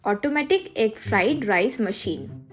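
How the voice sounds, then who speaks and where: A woman narrates a scripted moral tale as its storyteller. Her voice is a little high, with light music playing behind it. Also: lively intonation, measured pace.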